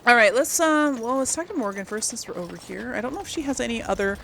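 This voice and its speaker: A woman's voice: on the high side, animated, quick pace, creaky, fairly bright tone, slight background noise, low-quality recording. A woman giving casual let's play commentary as she role-plays through a video game. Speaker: gameplay streamer role-playing a character.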